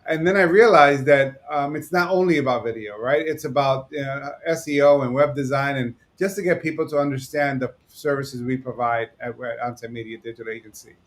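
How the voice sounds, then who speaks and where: A man from New York who owns a digital agency, talking fast and rambling in a casual chat. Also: mid-range voice. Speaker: digital agency owner, co-host.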